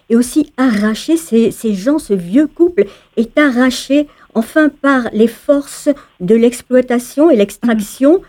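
A woman's voice: a little high, warm tone. A woman interviewer on the radio asking long, winding questions with frequent fillers.

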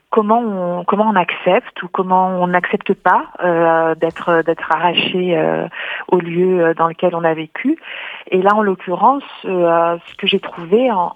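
A woman's voice: lowish voice, dry recording. A woman author answering radio interview questions, hesitant, with many fillers and restarts. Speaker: author and translator, interview guest. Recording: tinny phone-like line, dry.

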